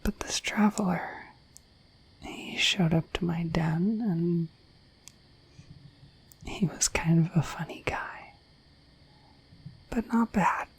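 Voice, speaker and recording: A dorky, friendly dragon in human-like shape, played by a woman, speaks in a low, very breathy whisper with a creaky edge. The delivery is gentle and hesitant, a rambling ASMR bedtime roleplay. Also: slow, long pauses, heavily acted, low-quality recording. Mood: gentle, chatty.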